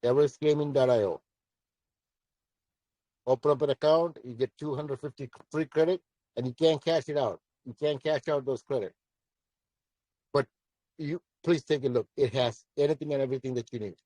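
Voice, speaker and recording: A man with a commanding voice fields questions in a live audio session. His tone is mellow and dark, and the sound is dry with no room echo. He speaks bluntly and repeats his points for emphasis. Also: medium pitch, moderate pace, pauses often. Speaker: project founder answering questions.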